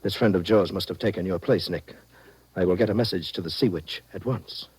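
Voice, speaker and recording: A man playing a sanctimonious, pompous do-gooder with a husky voice and formal, precise phrasing in a vintage radio drama. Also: low voice, a little fast, close to an everyday voice, low-quality recording. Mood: concerned. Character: pompous, sanctimonious do-gooder visiting jailed sailors.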